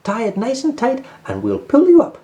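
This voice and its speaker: Guy doing a kindly park keeper from a kids storybook, hearty hollering, raised pitch, really swoopy. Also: wildly swooping pitch, moderate pace, warm tone, heavily acted. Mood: concerned. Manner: hearty, exclamatory calling. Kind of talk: children's storybook read-aloud.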